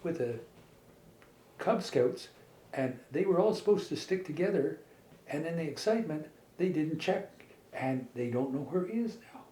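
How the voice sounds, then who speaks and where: Man voicing a worried lady car, raised pitch. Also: animated, normal speed, pauses often, warm tone, close to an everyday voice. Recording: echoey room with faint background noise.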